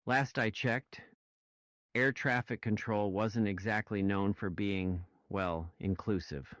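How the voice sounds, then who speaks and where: A man's voice: fairly low pitch, fairly even intonation, slow, a bit bright, dry recording. A man in a casual podcast discussion, speaking emphatically and in a questioning way, on a slightly muffled recording. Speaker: podcast panelist.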